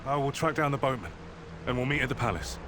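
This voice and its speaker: A man's voice: medium pitch, fast talker, lightly acted. An actor playing a concerned, loyal male scout in a game cutscene, speaking earnestly and explaining as he goes. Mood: earnest, cautioning. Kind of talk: scripted game cutscene dialogue. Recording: clear ambient noise behind the voice.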